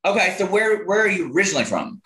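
A man co-hosts a casual conversation, chatty and encouraging. His voice has a bright, tense edge, and he often chimes in with quick agreement. A roomy echo surrounds the recording. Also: high-pitched, sing-song. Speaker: podcast co-host. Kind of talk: casual chat.

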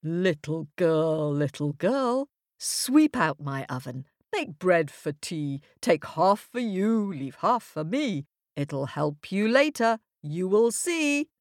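A woman's voice: lowish voice, very animated, slow pace, no echo, studio quality. A woman voicing a magical talking oven in a storybook, chanting rhymes in rhythm. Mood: chanting, bidding.

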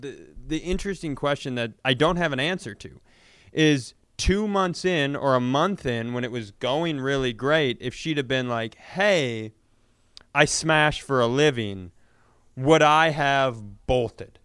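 A man with a smooth, clear voice of medium pitch chats on a comedy podcast. He is casual and self-deprecating, often restarting as he works out a thought. The sound is dry and close. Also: animated, unhurried, a bit bright, no echo. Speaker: podcast co-host.

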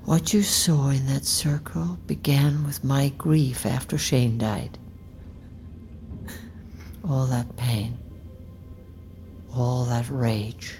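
A middle-aged mother hardened by grief in an audio drama. Her voice is exceptionally deep and dark, and she measures out each accusing phrase slowly, often dropping to a hush over faint music. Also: steady tone, full character voice. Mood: grieving, hushed. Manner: slow, deliberate, accusing, often hushed. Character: grieving, bitter, unforgiving bereaved mother. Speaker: actor in a fiction podcast.

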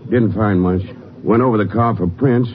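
An American actor playing a weary, folksy county sheriff in a 1950s radio drama. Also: male voice, lowish voice, normal speed, close to an everyday voice. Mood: grim, relieved. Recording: muffled old radio recording.

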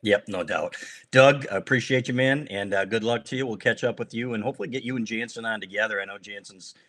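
A male American sports podcast host with a fairly low voice. He talks like a buddy, casual and informal, ribbing his guest as he goes. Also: fast talker, fairly bright tone. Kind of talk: casual joking sports chat.

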